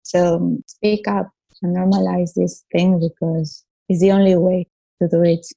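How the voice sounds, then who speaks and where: A woman in her forties from Peru speaks as a podcast guest, blunt and straightforward, often laughing. Her voice is gravelly with a mellow, darker tone. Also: on the low side, flat delivery, unhurried, highs cut off. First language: Italian. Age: 40s. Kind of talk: casual interview chat.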